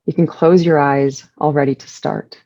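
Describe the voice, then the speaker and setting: A woman leading a guided meditation, giving soothing instructions in measured short phrases with pauses between them. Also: low-pitched, fairly even intonation, mellow, muffled recording.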